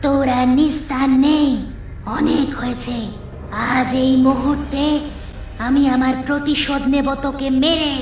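A woman voicing the vengeful ghost of a wronged young woman in a scripted horror cartoon, with a deliberate, aggrieved delivery. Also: high-pitched, flat delivery, slight background noise. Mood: vengeful, accusing. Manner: slow, deliberate, grievance-laden delivery. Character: vengeful female ghost of a poor starved murdered girl. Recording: echoey, distant voice over music.

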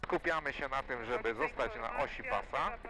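A man working as a flight instructor, coaching calmly in an encouraging, repetitive way inside a small aircraft. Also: mid-range voice, moderate pace, rough recording. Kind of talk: hands-on coaching during flight. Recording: music behind, aircraft cabin audio.

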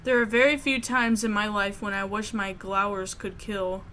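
A woman with a mid-pitched voice narrates a visual novel aloud. She is expressive and a bit sassy, slipping into casual commentary over faint noise and game ambience. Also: normal speed.

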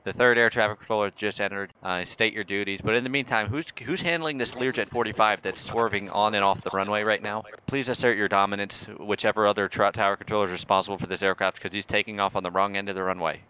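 Man, bright forceful voice, sarcastic bossy controller role-play, dull voice-chat audio, faint noise. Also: on the low side, fairly even intonation, fast, few pauses. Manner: bossy, sarcastic, mock-authoritative. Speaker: YouTuber playing air traffic controller. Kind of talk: improvised air traffic control role-play in a flight simulator game.

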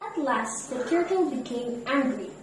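A woman tells a children's fable expressively, her high voice moving lively up and down. It is a distant, strongly echoing recording. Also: measured pace. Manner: expressive read-aloud storytelling. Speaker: narrator. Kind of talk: scripted narration of a children's fable. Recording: echoey room, distant mic, background noise.

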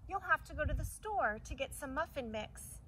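A middle-aged woman reads a storybook to young children. Her voice sits a little high, and her delivery is animated and deliberate, like a teacher's. She is recorded outdoors a little way from the mic, with faint ambient noise behind her. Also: recorded from a distance. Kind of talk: storybook read-aloud with asides to children. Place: outdoors on a lawn by a hedge. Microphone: camera a few metres away.

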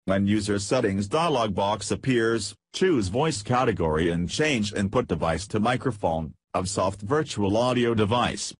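A man narrating a scripted software tutorial in a flat, read-aloud instructional way. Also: fairly low pitch, measured pace, hardly any pauses, husky, no room sound.

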